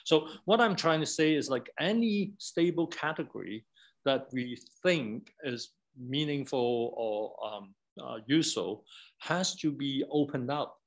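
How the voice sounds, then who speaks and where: A man with a mid-pitched voice talks through ideas as an academic researcher would. His delivery is informal and discursive. He moves haltingly, often leaning on "like" and checking in with "right?".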